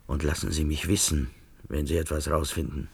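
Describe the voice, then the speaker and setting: An actor in a German science fiction radio play, playing a wary, evasive barkeeper with a gravelly, hesitant delivery. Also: male voice, rumbling low, steady tone, normal speed, dry recording, full character voice. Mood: cautious. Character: wary, evasive barkeeper who knows more than he says.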